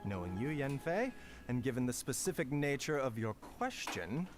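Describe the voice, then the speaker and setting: A man's voice: medium pitch, sing-song, moderate pace, over music, close to an everyday voice. Casting: male actor as a polite, knowing apothecary for a fantasy game; mid-range, swooping delivery, genteel and wordy. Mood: explaining. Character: suave, courteous, knowing male pharmacist doctor. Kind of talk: scripted game dialogue.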